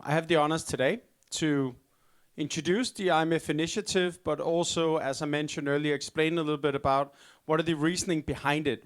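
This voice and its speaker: A man moderating a panel session in a relaxed, informal, chatty way. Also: a little high, smooth.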